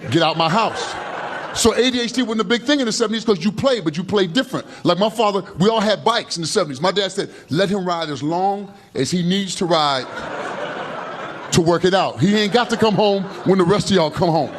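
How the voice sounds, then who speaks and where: A man in his fifties doing an animated stand-up routine on a comedy club stage into a handheld mic, gesturing broadly as he talks. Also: high voice, a little fast, background noise. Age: 50s. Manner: loud, animated, slangy storytelling with heavy gestures. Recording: live club recording with audience laughter. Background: audience laughing.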